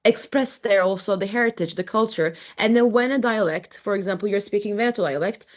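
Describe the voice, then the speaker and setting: A young adult woman from Turkey, giving a university lecture as an architect, speaking in a halting, conversational way with frequent restarts. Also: medium pitch. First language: Turkish.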